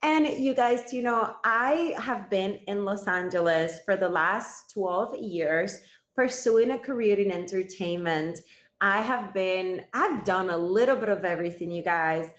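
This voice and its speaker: A woman's voice: mid-range voice, unhurried. A woman in her thirties from Cuba, a native Spanish speaker, hosting a live stream and chatting directly with her viewers. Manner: chatty, addresses viewers directly, thanks gifters. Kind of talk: casual live stream chat. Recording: roomy, slightly dull sound.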